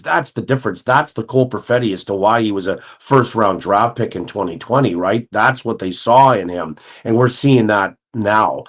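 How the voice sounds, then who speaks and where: A man, a guest hockey analyst, talking through the game in a measured, analytical way with frequent self-corrections. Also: on the low side. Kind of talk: radio-style hockey talk.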